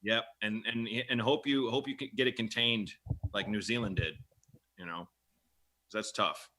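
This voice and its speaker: A man's voice: fairly low pitch, moderate pace, sharp tone. A man hosting a comedy podcast, chatting casually and telling stories in a rambling, repetitive way. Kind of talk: casual comic banter and storytelling.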